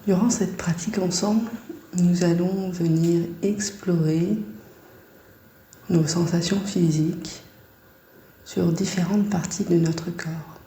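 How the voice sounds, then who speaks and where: A woman guiding a body scan meditation in a calm, soft-spoken, unhurried way with long pauses. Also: fairly low pitch, echoey room, slight background noise, low-quality recording.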